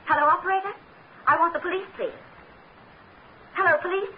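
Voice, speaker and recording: High-voiced, coy radio leading lady. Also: a little fast. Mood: composed, urgent. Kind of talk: 1940s radio drama acting. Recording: muffled, very noisy old radio recording.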